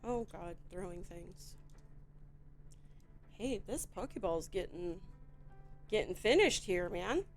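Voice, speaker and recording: A Midwestern woman with a Chicago accent, chatting in a rambling, relaxed way while she builds a toy set on stream. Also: lowish voice, soft background music. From Midwestern United States. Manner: chatty, rambling, slow and drawn out, with frequent fillers.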